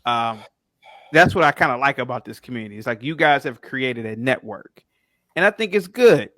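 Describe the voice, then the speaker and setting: A man's voice: mid-range voice, expressive, moderate pace, a bit bright, no echo. A man in a casual live debate who interjects and repeats his phrases.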